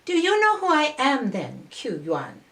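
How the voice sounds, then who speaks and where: A storybook mermaid, really a sea dragon, played by a woman reader with a tender, curious temper. She questions softly and gently, at an unhurried pace, in an echoing room. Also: sits high, huge pitch swings, distant mic, voice pushed up, full character voice. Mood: surprised, searching. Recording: roomy, echoing voice recording.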